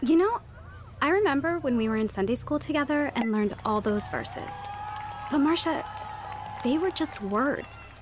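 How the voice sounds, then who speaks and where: A woman's voice: fairly high pitch, slight background noise, lightly acted. A woman playing an earnest, repentant teenage girl in an audio drama, speaking in a confessional way. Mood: encouraging. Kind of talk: dramatized first-person narration and dialogue.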